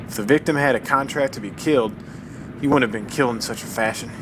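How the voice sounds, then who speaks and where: A man playing a matter-of-fact, measured amateur sleuth in a period radio mystery. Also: lightly acted. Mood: measured, deliberating. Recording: train rumble behind the voice.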